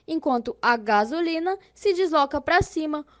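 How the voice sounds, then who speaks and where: A woman's voice: high voice, animated, moderate pace. A woman with a Brazilian accent voicing a patient female inspector who explains step by step in a scripted educational cartoon. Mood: explanatory.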